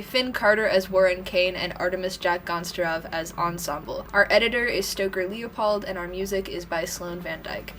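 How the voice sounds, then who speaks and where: A woman announcer reading out scripted show credits. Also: mid-range voice.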